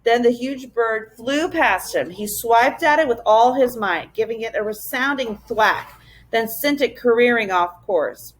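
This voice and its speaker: A woman narrates a children's adventure story in a somewhat high voice. She reads expressively, in the style of a teacher reading aloud to pupils. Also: expressive, unhurried, poor audio.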